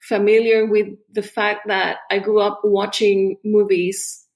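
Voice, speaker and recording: Woman from chile chatting on a podcast, lively and laughy, lots of restarts. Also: mid-range voice, a little slow. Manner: animated, restarts, laughs often. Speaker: guest artist. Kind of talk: casual interview chat.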